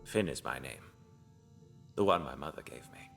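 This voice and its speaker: A creepy but sorry cult tailor, super deep voice, bright gritty edge, halting, audio drama. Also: male voice, lively intonation, gravelly, soft background music, full character voice. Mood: gentle, apologetic. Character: gruesome but apologetic underground tailor, cult member who stitches corpses.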